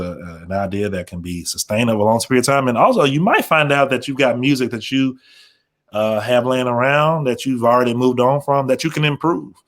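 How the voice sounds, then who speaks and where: Man giving halting, thoughtful panel advice. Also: mid-range voice. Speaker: panelist, radio program manager.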